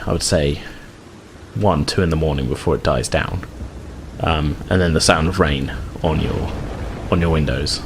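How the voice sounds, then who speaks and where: Low-voiced man running a tabletop game. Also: noisy background. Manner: conversational, improvised, hesitant hedging. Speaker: game master. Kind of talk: narrating a tabletop roleplaying game. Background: background music.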